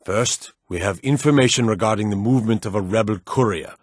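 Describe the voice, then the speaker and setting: A brusque fantasy war officer acted by a man with a lowish, slightly rough voice. He delivers scripted video game briefings in a terse, commanding, businesslike way. The sound is dry and close, with little room around it. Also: brisk, no room sound, natural voice.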